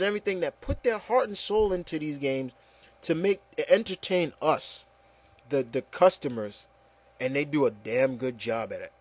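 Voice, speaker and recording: A man speaks as host in a casual, emphatic rant. His voice sits slightly high, and he circles back on his phrases to drive them home. The sound is dry and close. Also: dry recording. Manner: emphatic, repetitive, peppered with okay and you know. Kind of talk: casual opinion rant with co-hosts.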